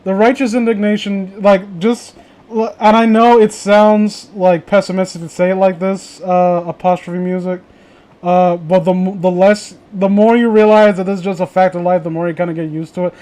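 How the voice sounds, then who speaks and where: Higher-pitched man in rambling casual chat. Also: steady tone, moderate pace, dark tone. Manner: profane, blunt, opinionated. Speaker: host of a casual group chat.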